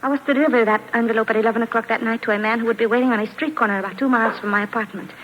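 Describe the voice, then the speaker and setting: Woman acting earnest foreign woman, quick speech, formal phrasing, frightened, 1950s radio drama, faintly noisy recording. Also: a little high, steady tone, a bit bright, dull audio, close to an everyday voice. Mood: earnest recounting.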